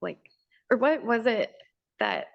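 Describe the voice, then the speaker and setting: Gravelly-voiced woman interviewer, dull dry sound. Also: fairly high pitch, wildly swooping pitch, takes it slowly, dry recording. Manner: hesitant, rambling questions. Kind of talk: casual interview questions. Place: room full of equipment cases.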